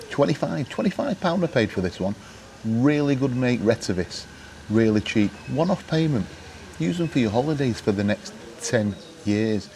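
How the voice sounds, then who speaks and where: A man's voice: mid-range voice, darkish tone, a little hiss or hum. A middle-aged British man presenting to camera in an informal, wry, chatty way, often putting questions to the viewer. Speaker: vlogger presenting gadget advice.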